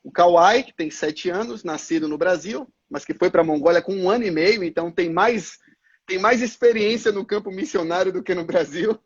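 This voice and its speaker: A man, a missionary pastor answering interview questions in a measured, explanatory way with frequent filler sounds. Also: high voice, husky, dull audio. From Brazil, Salvador. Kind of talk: live-stream interview answers.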